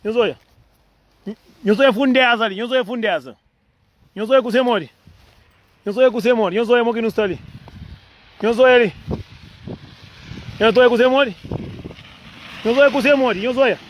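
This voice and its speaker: A man with a high voice talks in a rambling, repetitive, playful way, circling back to the same phrases. He is a vlogger from Cape Verde, speaking outdoors in the cold. The outdoor phone recording carries clear background noise. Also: quick pace, pauses often.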